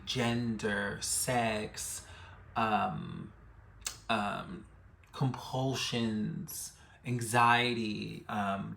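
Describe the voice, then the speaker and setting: A man in his thirties with a mid-pitched voice shares a personal story. His delivery is reflective and halting, and he leans on repeated phrases for emphasis. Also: creaky, pushed and bright, a little hiss or hum. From Bronx, New York City, United States. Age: 30s. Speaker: death midwife and ritualist, vlogger.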